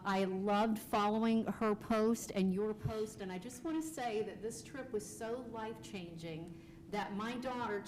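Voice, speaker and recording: Mom commenting at a meeting, gushing, emphatic, medium pitch, noisy sound. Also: female voice. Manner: effusive, emphatic. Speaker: parent at a meeting. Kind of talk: public comment.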